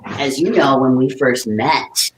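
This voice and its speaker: A man talking in a relaxed, reflective way, sharing the story of his artistic practice as the guest in an interview. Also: medium pitch, slow pace, slight background noise, poor audio. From Great Lakes region, North America. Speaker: guest artist, hoop dancer.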